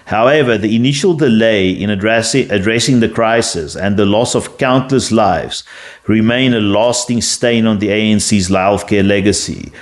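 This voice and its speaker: A man's voice: on the low side, normal speed. A South African man whose first language is Afrikaans, reading a prepared script and then rambling loosely in a casual rant full of fillers and restarts. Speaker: political commentator.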